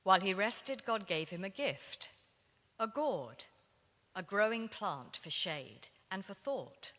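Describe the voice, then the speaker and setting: Slow, bright-voiced woman storytelling in a hall. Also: medium pitch, lively intonation. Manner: rhythmic, deliberate storytelling. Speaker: reader in a dramatic reading. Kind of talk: dramatic spoken word recitation. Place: large reverberant temple hall. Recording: long hall reverb.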